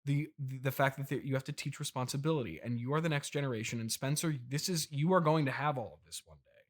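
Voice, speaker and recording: A man's voice: mid-range voice, fast, close to an everyday voice. Man playing rambling, doting trillionaire dad. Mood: earnest, rambling. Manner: hesitant, rambling, placating. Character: doting, rambling trillionaire CEO father, middle-aged, mildly unhinged. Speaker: podcast host. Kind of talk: improvised comedy podcast interview.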